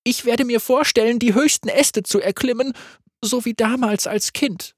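A man voicing a frightened young actress who speaks in a high, stammering, theatrical way, with a husky tone. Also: moderate pace, dry recording, studio quality. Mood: trembling wistful. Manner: high, stammering, grand stage delivery.

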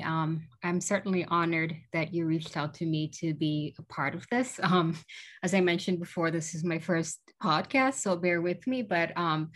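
Low-voiced chatty woman from Guyana. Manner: chatty, many "um" fillers, laughs easily. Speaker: guest, small business owner. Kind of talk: casual interview.

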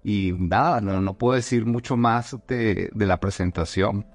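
A man's voice: lowish voice, a little slow, no echo, soft background music. A man from Venezuela, a leadership coach, talking reflectively as a podcast guest, with frequent restarts and fillers. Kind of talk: conversational podcast interview.

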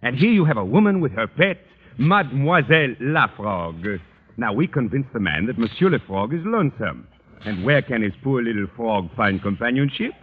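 A man playing an excitable, persuasive Frenchman with a French accent in a 1940s radio comedy. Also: mid-range voice, moderate pace, breathy edge, long reverb tail. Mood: excited, scheming. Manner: emphatic, animated, persuasive. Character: excitable Frenchman, scheming romantic adviser. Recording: noisy muffled old radio recording.

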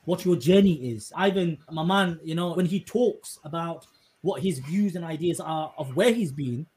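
Higher-voiced man, effusive podcast host. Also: normal speed, darkish tone. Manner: effusive, emphatic, wordy. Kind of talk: conversational interviewing.

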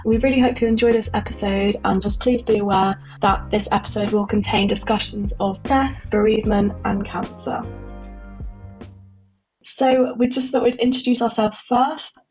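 A woman in her twenties hosts a podcast in a friendly, slightly halting way. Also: medium pitch, steady tone. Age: 20s. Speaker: podcast host. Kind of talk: casual podcast hosting. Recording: dull lo-fi recording with faint music.

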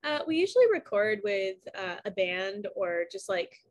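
A woman with a dark, rich tone, talking casually and modestly as an interviewed singer, often saying "like". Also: mid-range voice, very animated, normal speed. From Southeast Texas, US. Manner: informal, self-deprecating, frequent "like". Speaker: singer and pianist in a sister duo.

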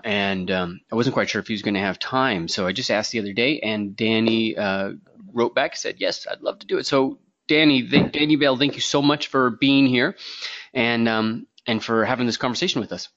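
A man's voice: medium pitch, fairly bright tone. A man speaks as an interview host, enthusiastic and appreciative, in a mid-range voice. His delivery is casual and conversational, and the audio is a little dull and lo-fi.

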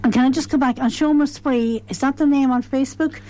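Irish woman radio host, higher voice. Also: steady tone, a little fast, mellow. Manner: friendly, asks plain follow-up questions. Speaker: radio arts show host. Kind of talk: radio interview.

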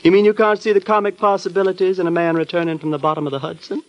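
An actor playing a smooth, falsely polite suspect in a 1940s radio drama, mocking and sly. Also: male voice, high-pitched, level tone, very fast, slight background noise, lightly acted. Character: smooth, mocking male villainous businessman. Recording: muffled old radio recording.

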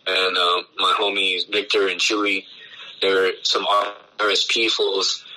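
A man, a punk band's bassist, talking loosely in a casual interview, full of swearing and filler words. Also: level tone, unhurried, pushed and bright, low-quality recording. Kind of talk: casual podcast conversation.

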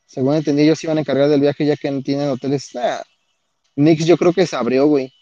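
A man's voice: a little high, fairly even intonation, quick pace, dark tone, dry recording. Slangy Mexican guy chatting with viewers. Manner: slangy, profane, reads and answers chat. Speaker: streamer. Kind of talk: casual chat with viewers. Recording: dull lo-fi dry sound.

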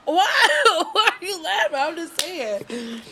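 Bright-voiced young woman podcast host. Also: very high voice, slow pace, slight background noise. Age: 20s. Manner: animated, teasing, laughs often. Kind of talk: casual podcast banter.

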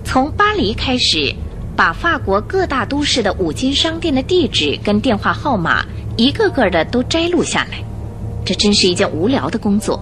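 A woman narrating a radio drama, reading a scripted story in an expressive, dramatic storytelling manner. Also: on the high side, moderate pace, soft background music.

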